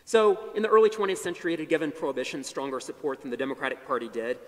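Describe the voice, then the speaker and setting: A middle-aged man lectures from a podium in a large chapel, his fairly high voice carrying long reverb through the hall. He speaks in measured phrases, stressing each opening and letting it drop low at the end. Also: lively intonation. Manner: measured, emphatic phrase starts falling to low endings. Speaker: history lecturer. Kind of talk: academic lecture. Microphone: podium mic.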